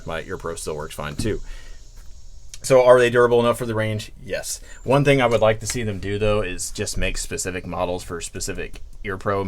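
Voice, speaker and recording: Male product reviewer, lowish pitch, conversational and candid, plain-spoken casual delivery for a hands-on gear overview. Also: a little hiss or hum.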